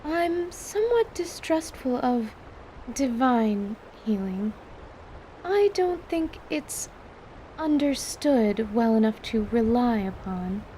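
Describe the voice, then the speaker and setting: A woman's voice: fairly high pitch, expressive, slow pace, dark tone, a little hiss or hum, rough recording. A woman playing a placid fantasy healer in an audio drama, speaking precisely and formally with earnest, professional curiosity. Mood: earnest, inquisitive. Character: female field healer, placid, clinical and earnestly inquisitive.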